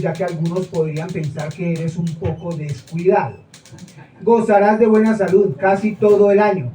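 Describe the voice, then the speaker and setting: An actor playing a radio co-presenter on stage, making mock-formal announcements with sardonic comments. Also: male voice, high voice, dark tone, a little hiss or hum, natural voice. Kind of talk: stage acting of a radio broadcast. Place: small theater stage. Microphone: far camera. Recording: echoey room from far off.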